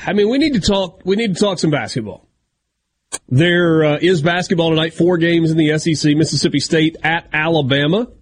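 A man's voice: fairly high pitch, a little fast. A man hosting a sports radio show, chatty and self-deprecating, with a big voice. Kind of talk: casual sports radio talk.

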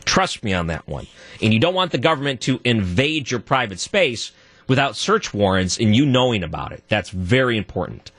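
A man with a deep voice, guest hosting a talk radio show and arguing his point alone, his voice jumping higher when he gets worked up. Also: moderate pace, no room sound. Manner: animated, voice jumps high when worked up. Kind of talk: solo talk radio monologue.

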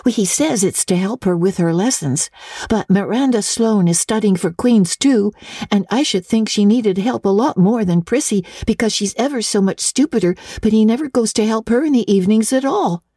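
A woman's voice: medium pitch, normal speed, mellow, husky, no room sound, studio quality, voice pushed up. A woman voicing a dreamy, talkative young girl in an audiobook, rambling eagerly in long breathless runs. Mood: chattering, earnest. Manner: breathless rambling girlish chatter. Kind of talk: audiobook reading.

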